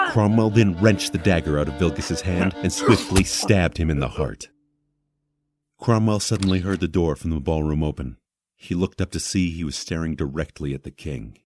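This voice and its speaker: A man narrating a scripted fantasy audio drama in a measured, dramatic storyteller's manner. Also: sits low.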